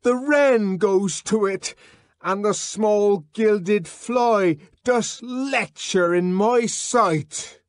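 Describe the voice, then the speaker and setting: A wild young man in a fury, as a male reader puts him on, shouting and declaiming old verse in a raised, strained voice. The delivery is drawn out and the sound is dry and close. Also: high voice, very slow, dry recording, pitched above the performer's own voice. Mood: ranting. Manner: shouting, ranting furiously.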